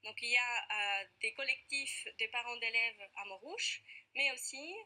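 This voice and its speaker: A woman's voice: on the high side, normal speed, bright, forceful tone, rough recording. A woman with an Argentine accent, a parent activist answering questions earnestly in a TV studio interview, with frequent hesitations. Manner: earnest, many hesitations with "euh".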